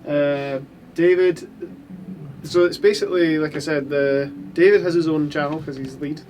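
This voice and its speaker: A man's voice: a little high, steady tone, normal speed, a little mellow, slight background noise. A man chatting casually about mixing a recording session, rambling with many restarts and fillers. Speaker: mixing engineer. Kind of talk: casual chat.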